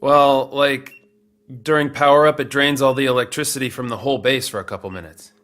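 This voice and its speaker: A man playing an eager, boyish, excitable guard in an audio drama, informal and keen to please. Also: mid-range voice, a little fast, close to an everyday voice. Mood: thinking hard.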